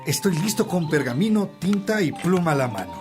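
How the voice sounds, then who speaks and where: A man's voice: fairly high pitch, animated, over music, lightly acted. A man acting an eager, obedient scribe who answers deferentially in a religious radio drama. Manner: eager, deferential, brisk short replies. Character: Faustino, eager, obedient male scribe serving the priests.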